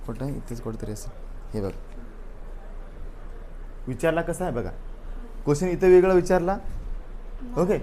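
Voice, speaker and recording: A man's voice: a little high, expressive, normal speed, pauses often, warm tone. A man leads a classroom exam review. He drills the answers by saying them again and again and keeps questioning his students. Faint room noise sits behind his voice. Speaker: teacher.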